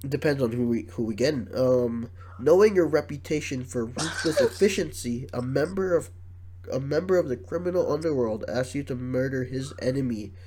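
A man's voice: mid-range voice, moderate pace, darkish tone, a little hiss or hum. A man with a nasal, congested voice, hosting a casual podcast and reading questions aloud between jokes and laughs. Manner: jokey, laughs often, reads questions aloud. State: congested, sick. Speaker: podcast host.

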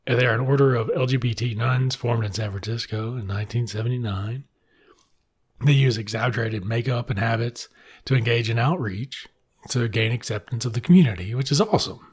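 A middle-aged American man from Mississippi with a husky voice, giving comic commentary in an irreverent, sardonic, swearing manner. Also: medium pitch, fairly quick. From Mississippi, United States.

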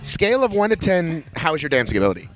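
A joking man hosting a casual podcast interview at an outdoor race event. He talks quickly and tumbles over his words, teasing the guests as he goes. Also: a little high, sing-song, soft background music, rough recording. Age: 30s to 40s. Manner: joking, teasing, restarts a lot. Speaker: podcast host.

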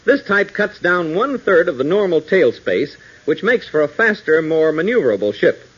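Male radio serial narrator, 1940s style. Emphatic, very animated, husky tone. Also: a little high, moderate pace. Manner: emphatic, addressing young listeners. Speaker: announcer and narrator. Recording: muffled old radio broadcast.

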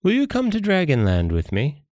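Naive jellyfish servant, acted by a man, storybook style: high, lively, eager and guileless, recorded dry. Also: normal speed, dry recording. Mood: eager, coaxing. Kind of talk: storybook character voice.

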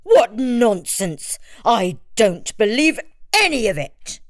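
A woman voicing a fierce, short-tempered male tiger in a children's story, scornful and roaring with drawn-out words. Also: fairly high pitch, sing-song, no room sound, clean studio sound.

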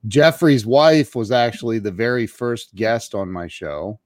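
A man's voice: mid-range voice, measured pace, no echo, studio quality. A man hosting an interview show, casual and enthusiastic, often repeating words as he goes. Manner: enthusiastic, repeats words and says wow a lot. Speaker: show host.

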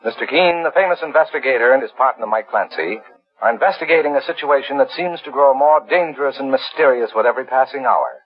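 A man's voice: mid-range voice, expressive, quick pace, breathy edge, faint music behind. A man announcing a radio mystery in dramatic 1940s scripted narration. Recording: muffled, dull 1940s radio recording.

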